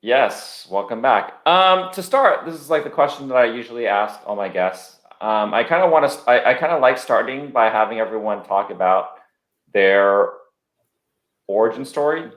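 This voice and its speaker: A man's voice: mid-range voice, lively intonation, normal speed, a bit bright. A man hosting a casual remote interview, informal, restarting his sentences and dropping in short interjections. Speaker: podcast host, interviewer. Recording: roomy, echoing remote recording.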